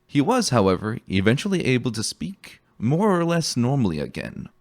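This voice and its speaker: A man narrating a story as a dramatic reading, in a theatrical and expressive way. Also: medium pitch, moderate pace. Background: music.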